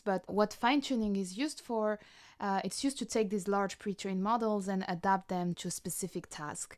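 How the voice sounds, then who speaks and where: A woman's voice: fairly low pitch, darkish tone, no echo, clean studio sound. A woman giving methodical, explanatory answers as a tech founder being interviewed.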